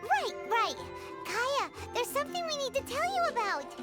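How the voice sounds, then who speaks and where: A woman voicing a tiny, childlike, excitable and blunt fairy companion in a video game, with a squeaky voice and dramatic delivery. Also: normal speed. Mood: eager. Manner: exclamatory, dramatic, refers to self in third person. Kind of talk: video game character dialogue. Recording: studio voice over game music.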